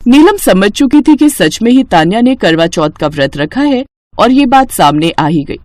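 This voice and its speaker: A woman's voice: medium pitch, very animated, normal speed, darkish tone. A woman storyteller giving scripted narration of a family tale.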